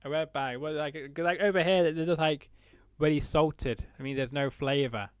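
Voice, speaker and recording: Male host, casual questioner with frequent fillers; smooth, resonant tone, dry close recording. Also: on the high side, moderate pace, dry recording. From United Kingdom. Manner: friendly, lots of "uh" fillers while reading dates. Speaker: interview host.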